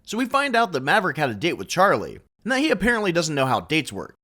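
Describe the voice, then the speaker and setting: Wry man with very lively pitch. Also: fast, soft background music. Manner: wry, mocking asides. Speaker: comedy recap narrator. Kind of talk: sarcastic comedic film recap narration.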